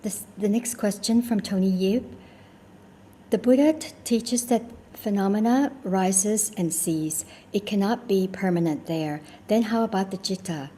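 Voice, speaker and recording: Mellow woman reading questions, faint noise. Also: mid-range voice, a little slow. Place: video call. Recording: video call with faint background noise.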